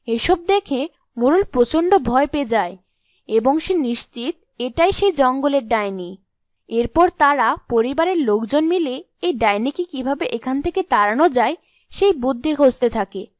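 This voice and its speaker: A woman's voice: high-pitched, lively intonation, moderate pace, no echo. A woman narrating a scripted fairy tale as a storyteller.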